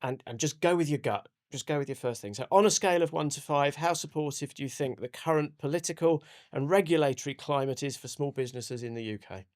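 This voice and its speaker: A man's voice: a little high, fast talker, few pauses, a little mellow. A man hosting a podcast, putting questions to a guest in a conversational interviewing style. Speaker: podcast host.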